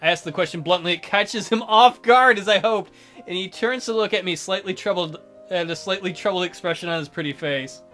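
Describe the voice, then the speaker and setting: A man's voice: high-pitched, fairly quick, fairly bright tone, faint music behind. A man hosting a let's play, reading visual novel narration aloud and adding wry asides.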